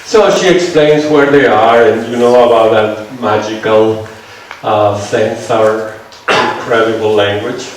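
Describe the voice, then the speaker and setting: A man's voice: lowish voice, steady tone, measured pace. Man with a Venezuelan accent lecturing in an echoey hall. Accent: Venezuelan Spanish. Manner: conversational, checks on listeners with "right?". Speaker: seminar lecturer. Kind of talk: lecture with audience questions. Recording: echoey hall, mic far off, faint noise.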